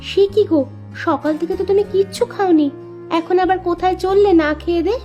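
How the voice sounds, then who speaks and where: A woman voicing a concerned, dutiful village housewife in a moral-story cartoon, expressive and questioning. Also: extremely high pitch, brisk, highs cut off. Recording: music behind the voice.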